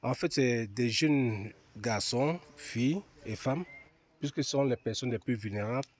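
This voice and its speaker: A man's voice: mid-range voice, measured pace, husky. A man explaining his organisation's work in an interview. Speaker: organisation representative.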